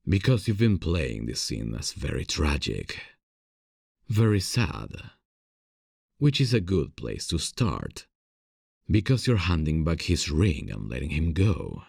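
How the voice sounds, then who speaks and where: Very deep, gravelly male theater director, acted by a man. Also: big pitch swings, slow, dry recording, studio quality, exaggerated voice. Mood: thoughtful coaching. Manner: gentle, encouraging, soft chuckles. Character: warm encouraging male theater director, secretly smitten with his actress. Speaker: voice actor. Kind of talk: scripted ASMR audio roleplay.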